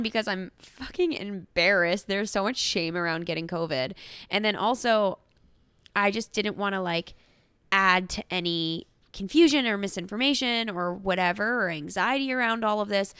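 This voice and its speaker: A woman co-hosting a podcast, talking frankly and casually, with quick asides. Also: medium pitch, a bit bright, smooth, no echo. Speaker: podcast co-host.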